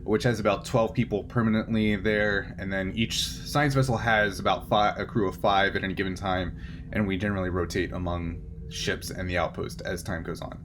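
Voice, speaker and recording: A man's voice: low voice, normal speed, lightly acted. A man playing a polite, earnest male scientist in a tabletop game, explaining things formally with hesitations. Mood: explanatory, concerned. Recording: clear steady background noise.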